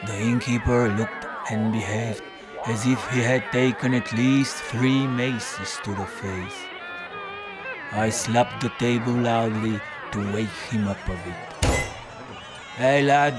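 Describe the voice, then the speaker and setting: An actor playing a battered, hard-drinking detective, narrating a noir audio drama in a deadpan, world-weary, wry way. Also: male voice, medium pitch, takes it slowly, over loud music, close to an everyday voice. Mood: irritable.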